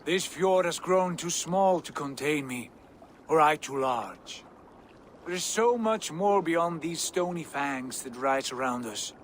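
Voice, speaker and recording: An actor playing a proud, ambitious Viking chieftain's son with a hearty, commanding way of speaking in a game cutscene. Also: male voice, on the high side, slight background noise. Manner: hearty, commanding, grand speech. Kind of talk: video game cutscene acting.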